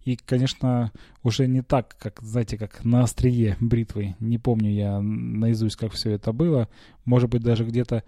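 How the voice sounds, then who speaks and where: Male podcaster, very mellow and muffled-warm tone, mid pitch, getting over a cold, hesitant conversational storytelling, dry room. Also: little pitch movement, moderate pace, no room sound. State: recovering from a cold, slight cough. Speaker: podcast host. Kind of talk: casual personal storytelling. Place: small quiet bedroom, lying on a bed.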